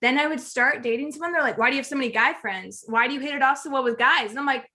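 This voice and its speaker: A woman co-hosting a podcast, expressive and dramatic when reciting, quick to agree in chat. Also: high voice. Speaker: podcast co-host. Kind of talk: casual chat, reads a spoken-word list.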